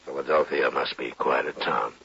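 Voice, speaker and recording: Very deep-voiced laconic western marshal. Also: male voice, normal speed, gravelly, pushed and bright, husky, exaggerated voice. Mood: dry, wary. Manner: laconic, dryly understated. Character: steady, dry, protective frontier marshal. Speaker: lead actor in western radio drama. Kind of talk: naturalistic old-time radio acting. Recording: dull vintage radio with music.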